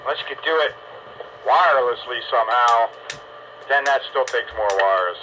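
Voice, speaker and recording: A man's voice: mid-range voice, normal speed, bright, forceful tone. A plainspoken, folksy man chatting casually over hobby radio. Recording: dull radio transmission with some noise.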